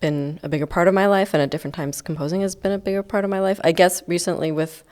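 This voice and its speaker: Guest composer, woman in her thirties, from Iceland, low-pitched, hesitant and reflective, lots of fillers. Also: steady tone, fast, darkish tone. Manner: thoughtful, hesitant, many fillers. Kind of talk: casual podcast interview.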